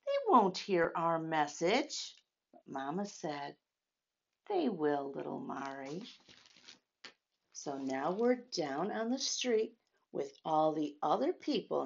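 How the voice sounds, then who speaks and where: Slow-paced woman reading to children, muffled sound. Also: fairly low pitch. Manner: addresses children directly, with questions and emphatic phrasing. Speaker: children's storytime host at a church. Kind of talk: read-aloud storytelling for young children.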